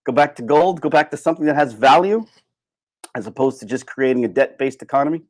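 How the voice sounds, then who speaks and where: A man giving a casual, opinionated livestream monologue in an animated, sardonic way. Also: mid-range voice, quick pace. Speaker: livestream host.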